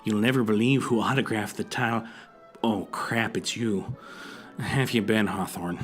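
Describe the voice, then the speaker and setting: A man's voice: on the low side, fairly even intonation, a little slow, soft background music, close to an everyday voice. An actor playing a shifty, wheedling dealer in contraband collectibles in an audio drama, with evasive salesman patter. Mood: ingratiating, evasive.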